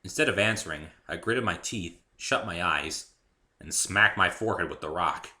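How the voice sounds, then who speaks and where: Casting: male amateur narrator for dramatic fiction, expressive, lowish voice with a bright, forceful edge. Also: normal speed. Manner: expressive, theatrical. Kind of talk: dramatic first-person story reading.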